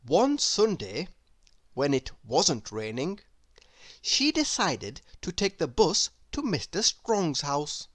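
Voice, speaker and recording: A woman reading a children's story aloud, deliberate and expressive in her storytelling. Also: low-pitched, dry recording, clean studio sound. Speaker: storybook reader. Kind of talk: read-aloud narration for children.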